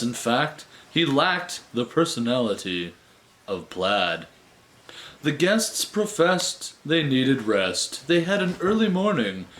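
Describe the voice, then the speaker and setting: A man reads comic verse in a theatrical, playful way. His mid-range voice moves up and down with great liveliness, and he keeps an unhurried, rhythmic pace. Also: mid-range voice, poor audio. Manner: expressive, rhythmic, playful delivery. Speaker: reader of comic poetry on own channel.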